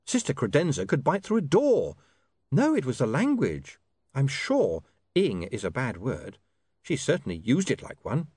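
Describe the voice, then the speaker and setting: A man's voice: mid-range voice, wildly swooping pitch, normal speed, a little mellow, slightly rough, no echo, pitched above the performer's own voice. A man voicing a prim, spirited, shaken young woman in a story. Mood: indignant.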